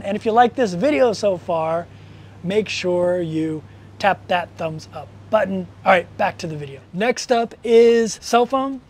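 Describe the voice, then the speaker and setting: Chatty male vlogger, forties, high voice, upbeat and explanatory, lapel mic outdoors. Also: darkish tone. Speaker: travel vlogger. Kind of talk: casual talking-head vlog. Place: outdoors on a cruise ship balcony by the sea. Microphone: clip-on lapel mic.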